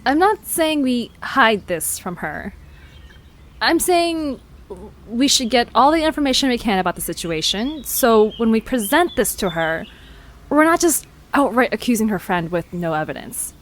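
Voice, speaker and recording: Expressive, level-headed young woman played by an actress. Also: fairly high pitch, moderate pace. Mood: careful. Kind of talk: audio drama acting.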